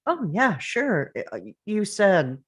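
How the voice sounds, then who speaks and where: A woman reading the part of a bereaved, nostalgic mother in a drama table read, halting and conversational but composed. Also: mid-range voice, big pitch swings, breathy edge, heavily acted. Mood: polite, puzzled.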